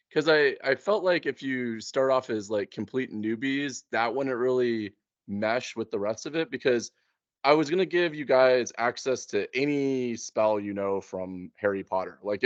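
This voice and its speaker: A man's voice: medium pitch, no echo. Man chatting casually, dry muffled sound. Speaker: game master planning a tabletop campaign. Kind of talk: casual chat.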